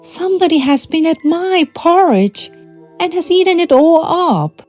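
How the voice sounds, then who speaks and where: Tiny high-voiced baby bear. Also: female voice, lively intonation, measured pace, a little mellow, soft background music. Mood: upset. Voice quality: small, high, childlike. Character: little wee young bear in a storybook.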